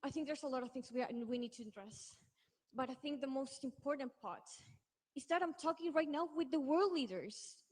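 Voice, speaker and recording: Teen Chilean activist, Spanish-native, fairly high voice, earnest and emphatic, stumbles and restarts. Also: female voice, moderate pace. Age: teens. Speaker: youth activist speaker. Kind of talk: impassioned speech on a panel.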